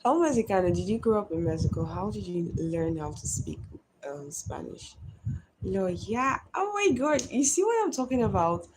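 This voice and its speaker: A woman's voice: medium pitch, big pitch swings, normal speed, darkish tone, husky, rough recording. A woman in her twenties chatting on a live stream, reading and answering viewer comments one by one. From Nigeria. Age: 20s.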